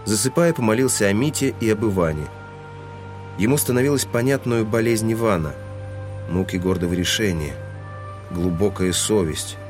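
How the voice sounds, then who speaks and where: A man's voice: fairly low pitch, normal speed, music in the background. A man giving scripted literary narration as the narrator of an audio drama.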